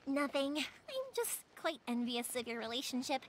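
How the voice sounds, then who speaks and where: A woman playing an energetic, earnest young fireworks maker in a video game, friendly and eager to help. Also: sits high, moderate pace, a little hiss or hum. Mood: cheerful. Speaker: quest character.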